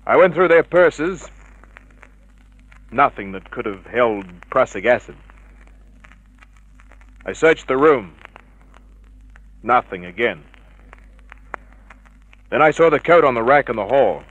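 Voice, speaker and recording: An actor playing a cynical, unflappable private detective, terse and dry, in vintage radio drama style. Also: male voice, mid-range voice, expressive, slow pace, long pauses, a bit bright, highs cut off. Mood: hard-boiled narrating. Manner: terse, hard-boiled, dry. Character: cynical, unflappable male private detective narrating his case.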